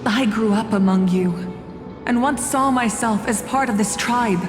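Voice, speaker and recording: A woman playing a proud, resolute exiled warrior in a video game, speaking in a formal, measured, declamatory way. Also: mid-range voice, natural voice. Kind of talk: scripted dramatic video game acting. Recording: echoey hall with long reverb, loud music.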